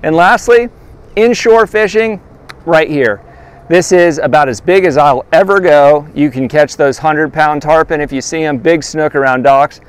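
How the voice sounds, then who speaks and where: A man in his thirties, a fishing guide, showing his gear outdoors by a lake and talking straight to the camera in a relaxed, practical way. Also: on the high side, measured pace, smooth. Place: outdoors by a lakeshore. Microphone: lapel mic.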